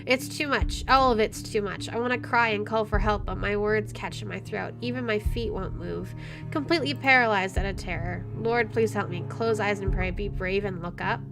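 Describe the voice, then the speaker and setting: A woman's voice: medium pitch, moderate pace, gravelly. Playful woman doing game commentary, faint music. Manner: playful, joking asides with dramatic reading. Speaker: gaming video host. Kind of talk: playthrough commentary, reading game text aloud.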